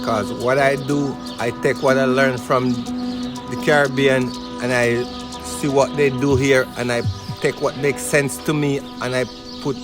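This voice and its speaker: A man from rural Jamaica with a Jamaican accent, a farmer talking casually in an interview. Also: medium pitch.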